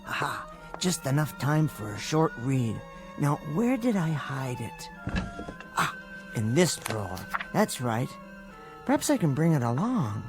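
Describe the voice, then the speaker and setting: An American actor playing a timid, bookish, henpecked middle-aged husband in a radio drama, with hesitant, stammering speech and a gentle dignity. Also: male voice, a little high, sing-song, measured pace, music in the background. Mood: quietly pleased. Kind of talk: scripted radio drama acting.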